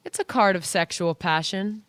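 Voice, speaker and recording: A woman playing a competitive, insistent young woman in an audio play, speaking in a brisk, assertive manner. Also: medium pitch, a bit bright, no room sound, natural voice.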